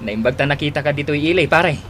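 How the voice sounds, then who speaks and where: A man's voice: a little high, fast, fairly bright tone, natural voice. A man playing an inquisitive village husband seeking work in a radio drama, insistent and questioning, with an Ilocano accent. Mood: eager, pleading.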